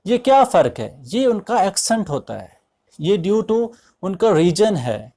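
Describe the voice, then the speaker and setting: Higher-pitched Pakistani man teaching. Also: darkish tone. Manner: deliberate, explanatory, switches between English and Urdu. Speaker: English language teacher. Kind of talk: instructional lecture to students.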